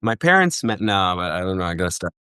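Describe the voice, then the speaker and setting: A man's voice: low voice, very animated, quick pace, sharp tone, smooth, no echo, clean studio sound. A young man from Egypt talking casually as a podcast guest, rambling with many fillers and restarts. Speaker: guest, festival booker.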